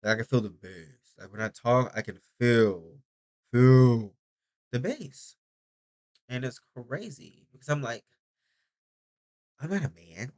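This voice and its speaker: A man's voice: medium pitch, lively intonation, slow, pauses often, darkish tone. A young man rambling through a casual video diary, chatty and often interrupting himself. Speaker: vlogger.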